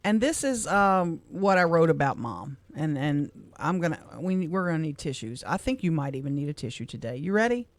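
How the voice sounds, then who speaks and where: A middle-aged woman from Louisiana, a radio co-host, speaking softly and with emotion as she reads a personal letter on air in a studio. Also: low voice, very animated, fairly quick, few pauses. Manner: heartfelt, soft-spoken, emotional.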